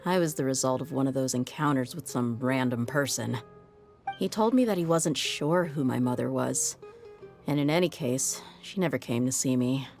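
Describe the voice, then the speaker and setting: A woman's voice: extremely low pitch, normal speed, darkish tone, soft background music. A woman voicing a tough, jaded young mercenary in a video game, speaking frankly and wryly. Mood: wry, reflective. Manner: frank, wry, world-weary. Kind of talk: video game voice acting, story dialogue.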